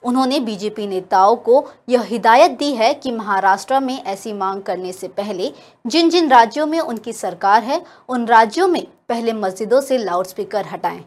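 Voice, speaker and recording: A woman's voice: fairly high pitch, animated, normal speed, darkish tone. A woman working as a news anchor, reading scripted news narration.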